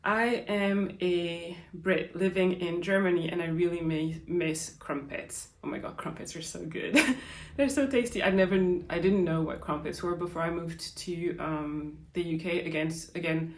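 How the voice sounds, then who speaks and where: A woman's voice: on the low side, steady tone, moderate pace. A young woman with a French accent hosting a podcast, answering listener questions in a casual, chatty way full of fillers. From France. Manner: chatty, lots of fillers and likes. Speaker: podcast host. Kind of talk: casual Q&A chat. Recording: roomy echo.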